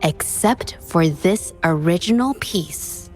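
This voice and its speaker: A woman's voice: fairly low pitch, animated, slow pace, faint music behind. A woman voicing an earnest, resolute young female painter in a video game, hesitant at times.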